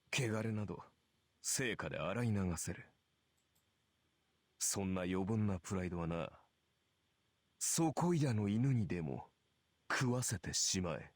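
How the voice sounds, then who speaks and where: A man's voice: fairly low pitch, expressive, normal speed, long pauses, dry recording. A man playing a cynical, taunting male warrior in a Japanese visual novel. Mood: mocking, cool. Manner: taunting, sardonic. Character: cynical, smirking male archer warrior, mocking honour and pride.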